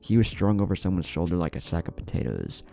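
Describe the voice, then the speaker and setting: Very deep, gravelly male storyteller. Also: very animated, quick pace, warm tone. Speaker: story narrator. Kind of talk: dramatic scripted fan fiction narration. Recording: background music under the voice. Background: music.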